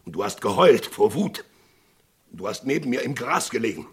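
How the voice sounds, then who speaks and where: An actor playing a desperate frontier sheriff in a Western radio play, pleading urgently with his friend, swinging from hushed to shouting. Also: medium pitch, very animated, moderate pace, breathy edge. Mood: quiet, pleading.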